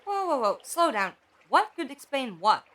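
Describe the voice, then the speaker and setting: An actress in a fan audio drama playing a wry, sceptical, questioning companion to a time traveller. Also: female voice, sits high, big pitch swings, moderate pace, a bit bright. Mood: catching up.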